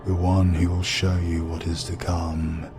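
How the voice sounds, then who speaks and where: Man playing a very deep, solemn old spirit. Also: level tone, music in the background. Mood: solemn, resigned. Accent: British. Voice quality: deep, husky. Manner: slow, grave, portentous. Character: solemn, ancient fatherly spirit of light, a dying guide.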